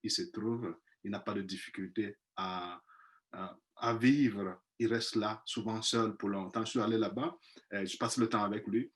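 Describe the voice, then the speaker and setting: A man's voice: lowish voice, fairly even intonation, normal speed, fairly bright tone. A man, a missionary priest not speaking his first language, telling of his experience in a webinar on a video call, hesitant with many restarts. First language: not French; speaks five languages. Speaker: missionary priest, panel guest. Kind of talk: personal testimony in a webinar.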